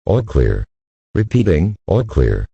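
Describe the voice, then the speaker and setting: A man's voice: sits low, wildly swooping pitch, slow, creaky, warm tone, slightly rough, no echo, muffled recording. A man giving terse, scripted official emergency announcements.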